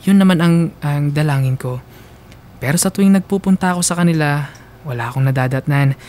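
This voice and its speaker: A man narrating a radio drama in the first person, emotive and halting for dramatic effect. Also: on the high side, darkish tone, a little hiss or hum.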